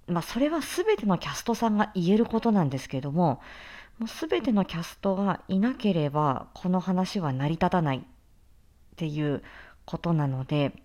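A woman voice actor giving a reflective promotional talk in an earnest, halting, deliberate way. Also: fairly low pitch, very animated, dark tone. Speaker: voice actor introducing a production.

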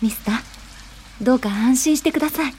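An actress playing a caring, determined young social worker in a radio drama, earnest and gently persuasive. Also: female voice, high-pitched, fairly quick, a little hiss or hum, close to an everyday voice. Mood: gentle, reassuring.

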